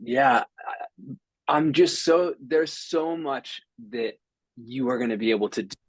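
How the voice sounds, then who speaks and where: A man with a medium-pitched voice talks informally as a guest executive on a promotional call. He sounds enthusiastic and emphatic, keeping a conversational flow as he pitches his idea. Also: unhurried, husky, low-quality recording. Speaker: head of business development, guest speaker.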